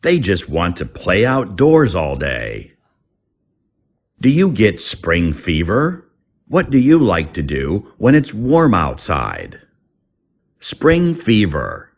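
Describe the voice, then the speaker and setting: A man reading an English lesson aloud in a deliberate, instructional way, as a teacher does. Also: deep voice, wildly swooping pitch, muffled recording.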